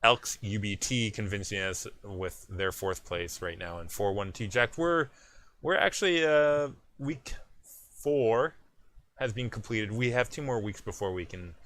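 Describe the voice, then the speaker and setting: A man's voice: on the low side, expressive, unhurried, fairly bright tone. A man with a Canadian accent co-hosting an esports show, reading out match results in casual chat with frequent restarts.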